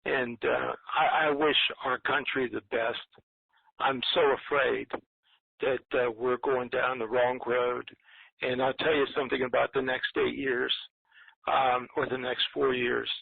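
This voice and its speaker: A man calling in to a talk line, speaking in a hesitant, earnest, gloomy and rambling way with a gravelly, husky voice. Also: mid-range voice, flat delivery, unhurried, no echo. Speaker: caller. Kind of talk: rambling call-in commentary. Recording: narrow muffled phone-line sound.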